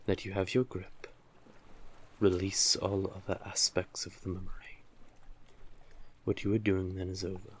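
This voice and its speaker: A man acting an older male sea witch, very slow delivery, deep voice, doting, coaxing, intimate fantasy audio drama. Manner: soothing, coaxing, doting, deliberate. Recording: clearly noisy, sea-cave ambience effects.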